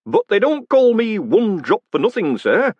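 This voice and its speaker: A man's voice: high-pitched, wildly swooping pitch, normal speed, slightly rough, no echo, muffled recording, voice pushed up, exaggerated voice. A man voicing a friendly, obliging hangman who chats politely and calls everyone sir. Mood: cheery. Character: cheerful obliging male hangman.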